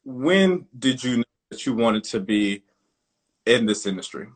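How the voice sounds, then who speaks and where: A man's voice: mid-range voice, animated, measured pace, husky. A man hosting a live online interview in an informal, effusive, conversational way, addressing his audience as y'all. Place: live video call stream.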